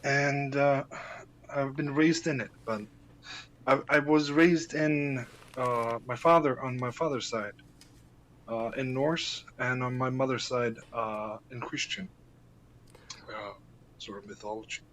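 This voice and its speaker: A man's voice: mid-range voice, fairly even intonation, takes it slowly, fairly bright tone, poor audio. An Icelandic man, a native Icelandic speaker, talking as a podcast guest in a casual interview, hesitant, with many fillers and restarts.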